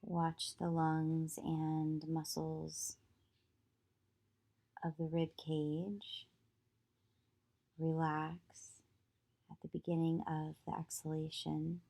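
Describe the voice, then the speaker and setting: A woman leading a guided meditation as a yoga nidra teacher, soothing and measured, with long pauses between short phrases. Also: sits low, completely flat delivery, vocal fry, mellow.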